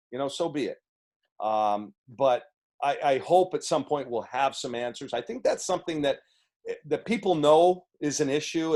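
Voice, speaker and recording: A man with a booming voice answering press questions as a head coach, earnest and halting with restarts, on a video call. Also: a little mellow.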